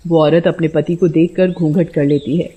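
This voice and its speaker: A woman's voice: sits low, fairly even intonation, normal speed, warm tone, husky. A woman narrating a scripted bedtime story as storyteller. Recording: dull lo-fi audio.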